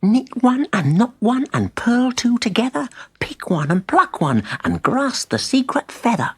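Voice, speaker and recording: A man puts on the voice of a young boy learning sorcery in a storybook. The pitch is lifted and swings about, sounding eager, timid and a little bumbling, but always hopeful. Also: big pitch swings, unhurried, fairly bright tone, husky, no echo, full character voice. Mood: excited. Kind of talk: audiobook character voice.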